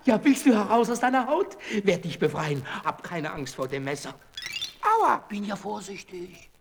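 An actor playing a kindly, lonely old puppet maker, talking fondly and theatrically in a children's radio play. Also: male voice, high-pitched, very animated, normal speed, vocal fry, a little hiss or hum, full character voice. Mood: puzzled, amazed. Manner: exclaiming, talks fondly to his puppets.

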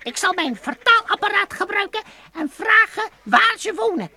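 Very high-pitched little alien professor. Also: female voice, big pitch swings, normal speed, sharp tone, heavily acted. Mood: determined, impatient. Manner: quirky stilted phrasing with repeated nonsense exclamations. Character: small brave alien professor from planet Viola, headstrong. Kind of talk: old radio play acting for children.

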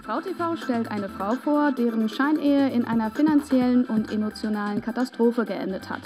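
A woman's voice: a little high, normal speed, darkish tone, faint music behind, lightly acted. A woman acting a formal, polished TV magazine announcer within a radio drama. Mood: presentational. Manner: formal, presentational.

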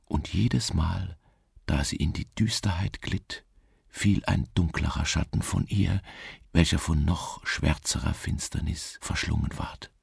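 A man narrating a literary radio drama in a slow, somber, measured way, with a gravelly, husky voice. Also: low voice, no room sound, clean studio sound.

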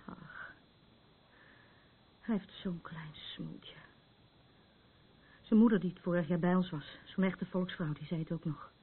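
An actress in a radio play, playing a troubled, battered woman who is anxious and rambles haltingly in defence of her abuser. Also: on the low side, a little hiss or hum, lightly acted. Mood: wistful. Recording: muffled, phone-like narrow band.

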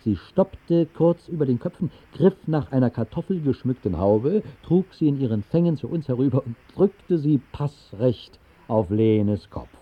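An actor playing a whimsical night-owl who narrates an absurd dream in a radio play, with ornate, mock-solemn phrasing. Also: male voice, medium pitch, expressive, unhurried, hardly any pauses, slightly rough. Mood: wry, dramatic. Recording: muffled, thin 1960s radio recording.

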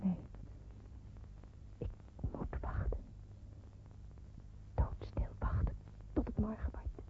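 A woman's voice: slow, whispered, lightly acted. Actress as a young woman in hiding, tinny recording. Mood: anxious, hushed. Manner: dramatic, intimate monologue. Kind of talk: radio play acting, first-person narration. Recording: tinny, narrowband old radio recording, faint noise.

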